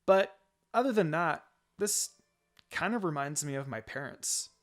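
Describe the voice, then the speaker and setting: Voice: mid-range voice, expressive, a little slow, faint music behind, natural voice. A narrator voicing a shy young female ninja who talks in a hesitant, rambling way. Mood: softly touched.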